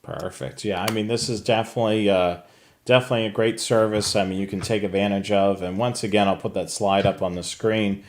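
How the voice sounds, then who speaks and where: A man's voice: fairly low pitch, steady tone, fairly quick. A man co-hosting a live hobby show, talking casually through products and services for viewers. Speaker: co-host of a live radio scanner show.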